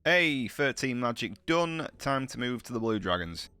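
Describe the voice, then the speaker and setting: A man's voice: mid-range voice, very animated, normal speed, pushed and bright, smooth, faint music behind. A man with a heavy cold, talking through his gameplay in a chatty, upbeat way, full of slang. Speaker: gaming channel host. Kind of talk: gameplay commentary.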